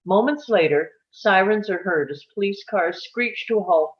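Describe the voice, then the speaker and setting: A woman's voice: medium pitch, expressive, normal speed. A woman reading stage directions as scripted narration for a live table read, on dull audio.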